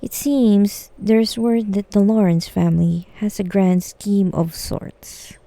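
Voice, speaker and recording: A woman's voice: medium pitch, takes it slowly, gravelly, warm tone, no echo. A woman streaming a game live and reading its dialogue aloud.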